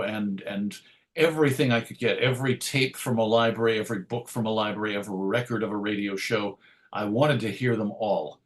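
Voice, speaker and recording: A man's voice: medium pitch, a bit bright. A middle-aged American man telling stories as an interview guest, discursive, self-deprecating and enthusiastic about his subject. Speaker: interview guest, radio show host. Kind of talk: reflective conversational interview storytelling.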